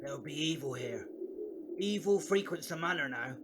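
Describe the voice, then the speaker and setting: Man playing a high-voiced, wary old villager, noisy birdsong. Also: expressive, normal speed, natural voice. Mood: ominous warning. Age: older. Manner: archaic rustic phrasing, ominous warnings, refers to himself by name. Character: cryptic, suspicious old village man, fearful and foreboding. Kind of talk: audio drama acting.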